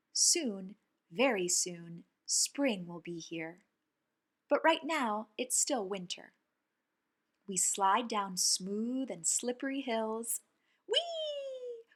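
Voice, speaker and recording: A woman reading a picture book aloud for young children, with expressive, clearly phrased delivery as the host. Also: on the high side. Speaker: children's story reader and host.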